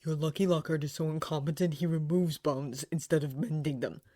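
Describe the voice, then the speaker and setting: A woman's voice: low voice, expressive, a little fast, darkish tone. A woman voicing a young schoolboy hero, blunt, snappish and sarcastic, in close to her own voice. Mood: sharp.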